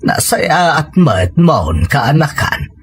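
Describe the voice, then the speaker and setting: A man's voice: fairly high pitch, very animated, takes it slowly, husky, exaggerated voice. A man with an Ilocano accent playing a cheerful, inquisitive young nephew in an audio drama. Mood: curious. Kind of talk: audio comedy-drama acting.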